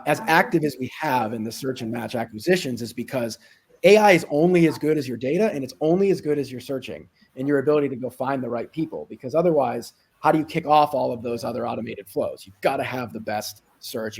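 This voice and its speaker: A man's voice: medium pitch, creaky, breathy edge. A man speaking as an executive in a business interview, explaining things conversationally with frequent asides. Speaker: company executive being interviewed.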